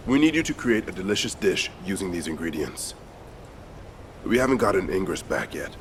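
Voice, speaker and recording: A man's voice: low voice, a little hiss or hum. A man voicing a persuasive, teasing comrade in a video game, with a coaxing, knowing delivery. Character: persuasive, teasing male comrade giving missions.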